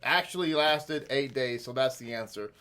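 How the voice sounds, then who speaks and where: A performer voicing a friendly, excitable rabbi for children, with hesitant, repetitive speech and exclamations of wonder. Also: mid-range voice, normal speed, close to an everyday voice. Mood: friendly, explaining. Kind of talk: children's question-and-answer skit.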